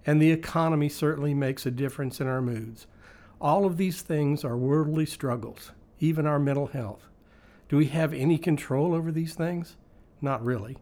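Male, slightly high voice, scripted devotional reading, reflective, rhetorical questions. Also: darkish tone. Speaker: devotional reader.

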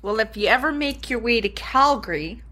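A woman's voice: fairly high pitch, expressive, normal speed, pushed and bright. A woman from Canada hosting an interview, asking questions and affirming her guest's answers with enthusiasm. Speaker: interview host.

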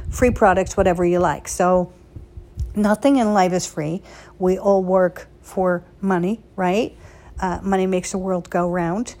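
Casting: woman, medium pitch, conversational social media storyteller with an emphatic, punchy delivery. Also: a little mellow. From Netherlands. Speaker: boutique owner hosting a solo social media chat. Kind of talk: casual chat, personal storytelling.

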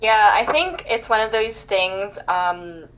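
A woman from Canada chatting as a podcast guest, full of "like" and "um" as she restarts her thoughts. Her voice sits at an ordinary middle pitch. The sound is dull and lo-fi, like remote call audio. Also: animated, slow pace, crowd noise. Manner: chatty, many fillers and restarts. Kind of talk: casual interview chat.

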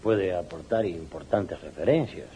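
A man's voice: deep voice, a little slow, warm tone. A man playing a deferential army captain in a radio drama, formal and respectful. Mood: dutiful, formal. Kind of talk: 1980s radio drama acting. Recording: muffled, faintly noisy old radio recording.

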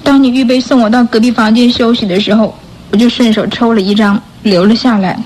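An actress plays a repentant young orphan girl in a radio drama. Her female voice is high, timid and hesitant, with an earnest, pleading manner. Faint noise sits behind her. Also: steady tone, a little fast, darkish tone, natural voice, rough recording. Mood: explaining.

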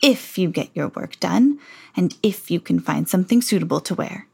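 Storybook villain: a woman doing a cold stepmother, low and clipped, full of authority. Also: animated, moderate pace, vocal fry, a little mellow, breathy edge, full character voice. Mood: sly. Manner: stern, clipped orders. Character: cold, commanding stepmother, middle-aged woman.

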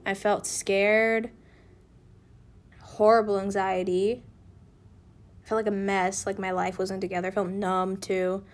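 Young female podcast host, a bit raspy from illness, candid rambling delivery. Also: medium pitch, level tone, measured pace, pauses often. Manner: candid, rambling, with frequent restarts. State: raspy from feeling unwell. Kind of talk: casual personal podcast monologue.

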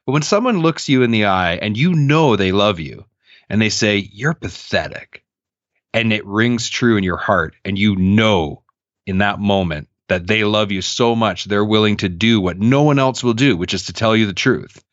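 A man's voice: fairly low pitch, normal speed, dry recording, lo-fi sound. A man in his thirties telling his life story candidly as a podcast guest, earnest and reflective. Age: 30s. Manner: earnest, reflective, animated when quoting others.

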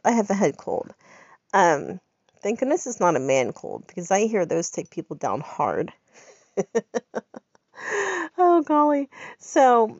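A woman's voice: medium pitch, darkish tone, no echo, highs cut off. A woman, congested from a head cold, chatting in a rambling, upbeat way as a podcast host. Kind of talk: casual personal chat.

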